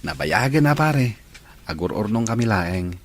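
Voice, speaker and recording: A man's voice: lowish voice, expressive, a little slow. A man playing a devoted, sentimental young boyfriend in an Ilocano audio drama. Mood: earnest.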